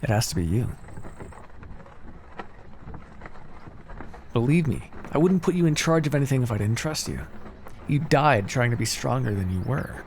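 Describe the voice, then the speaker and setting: A man playing a kindly, encouraging necromancer in an ASMR fantasy roleplay, speaking in a gentle, reassuring, conversational way. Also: medium pitch, animated, fairly quick, slight background noise, lightly acted. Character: kindly, encouraging necromancer master, earnest and casual.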